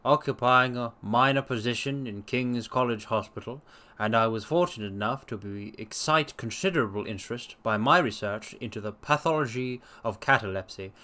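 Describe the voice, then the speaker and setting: A man's voice: on the low side, sharp tone, voice pushed down. Man reading as an earnest, formal Victorian doctor. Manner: formal, measured, precise. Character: earnest, modest young male Victorian doctor telling his history.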